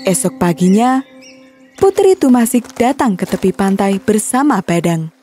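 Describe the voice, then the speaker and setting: A woman's voice: medium pitch, expressive, measured pace, warm tone, soft background music. A woman narrating a scripted children's story as storyteller.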